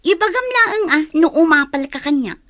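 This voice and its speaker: A proud, resentful young girl in a radio drama, with a high voice. She snaps scornfully and sharply, in a dry, close recording. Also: expressive, normal speed, a bit bright, dry recording. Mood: accusing, indignant.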